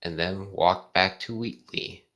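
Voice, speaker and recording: A person reading fan fiction aloud and chatting about it casually, often halting and stumbling over words. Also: deep voice, a bit bright. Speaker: fan fiction reader and co-host. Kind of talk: casual commentary and read-aloud.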